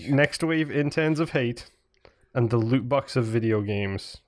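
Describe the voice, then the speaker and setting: A man's voice: mid-range voice, huge pitch swings, slow, slight background noise. A man chatting casually as a podcast co-host.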